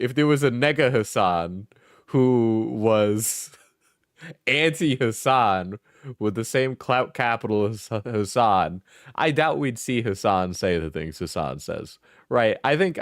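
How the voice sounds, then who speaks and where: A man's voice: mid-range voice, no room sound, studio quality. A sleepy man livestreaming, chatting casually and rambling, laughing and swearing often. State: sleepy, just out of bed.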